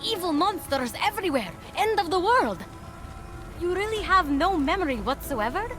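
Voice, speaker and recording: An actress voicing an eager, earnest and impatient young female warrior in a video game cutscene, exclaiming and animated. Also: extremely high pitch, normal speed, music in the background. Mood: exasperated.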